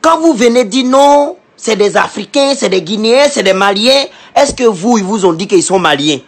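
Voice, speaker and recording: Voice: medium pitch, lively intonation, moderate pace. This is a clip from another video, played back inside a reaction vlog. The speaker argues emphatically in a mid-range voice and piles up rhetorical questions.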